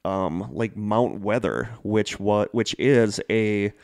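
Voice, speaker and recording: Low-voiced, enthusiastic man chatting. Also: takes it slowly, vocal fry, a little mellow, no room sound, clean studio sound. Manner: enthusiastic, rambling. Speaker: podcast co-host. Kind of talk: casual conversational storytelling.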